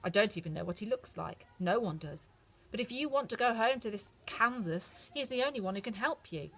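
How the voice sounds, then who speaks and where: A woman voicing a kindly, motherly old good witch who speaks in a gentle, reassuring way. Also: medium pitch, expressive, fairly quick, voice pushed up, rough recording. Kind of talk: storybook reading.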